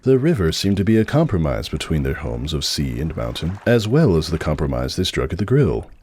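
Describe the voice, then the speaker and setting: Deep, expressive male storyteller. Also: brisk, breathy edge. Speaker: narrator. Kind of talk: dramatic storybook narration.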